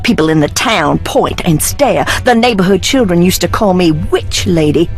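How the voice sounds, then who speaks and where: A woman playing an unhinged, eccentric young woman in a video game, with dramatic drawn-out phrasing and sudden swerves. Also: lowish voice, lively intonation, faint music behind. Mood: manic, gleeful.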